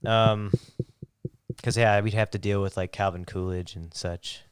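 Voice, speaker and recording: A man's voice: fairly low pitch, steady tone, unhurried, clean tone, no echo. An American man hosting a podcast, chatting and bantering casually. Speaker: podcast host.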